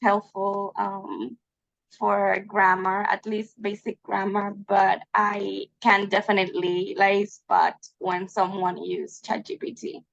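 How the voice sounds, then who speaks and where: A native Spanish-speaking woman with a Spanish accent, chatting casually in an online class. She speaks slowly with plenty of creak, over slightly dull call audio. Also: mid-range voice, little pitch movement, no echo. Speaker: student. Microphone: video call mic.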